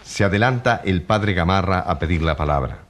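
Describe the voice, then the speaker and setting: A man's voice: low-pitched, expressive, moderate pace. A man playing a solemn radio announcer reporting a trial, declamatory and dramatic. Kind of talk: dramatic radio courtroom commentary.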